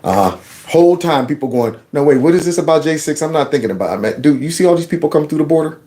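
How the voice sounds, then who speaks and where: A man with a fairly high voice delivers loose, off-the-cuff commentary. He mocks his targets with a sarcastic, animated edge and drops into joking voices. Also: fast talker. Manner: animated, mocking, slips into put-on voices. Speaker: commentary vlogger. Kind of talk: casual political commentary.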